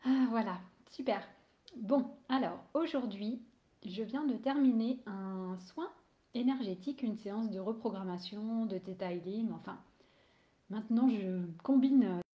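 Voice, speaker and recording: A woman's voice: medium pitch, unhurried, warm tone, echoey room. A woman with a cold who is losing her voice, an energy healer talking casually on a live stream, hesitant with many fillers and restarts.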